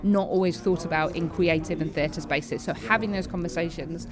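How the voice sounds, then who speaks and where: A woman with a slightly low voice answers an interview question conversationally. There is clear crowd chatter around her. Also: moderate pace. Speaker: panellist, interviewee. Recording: busy venue, people chattering behind.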